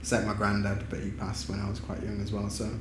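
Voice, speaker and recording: A man's voice: on the low side, fast. A man from Huddersfield, chatting casually as an interview guest with lots of fillers. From Huddersfield, England. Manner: relaxed, rambling, lots of fillers and "do you know what I mean". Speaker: photographer guest. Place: studio room. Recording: echoey room with clear background noise.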